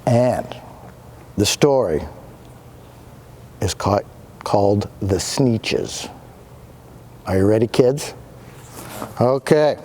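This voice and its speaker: A man's voice: mid-range voice, a little hiss or hum. A male teacher giving a dramatic read-aloud of a picture book to students. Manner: animated, dramatic reading voice.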